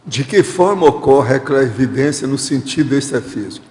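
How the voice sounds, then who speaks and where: A man's voice: mid-range voice, lively intonation, moderate pace, darkish tone. A Brazilian man hosting a studio Q&A who reads out questions and gives plain, direct advice. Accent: Brazilian Portuguese. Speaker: host reading viewer questions. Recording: echoey hall reverb.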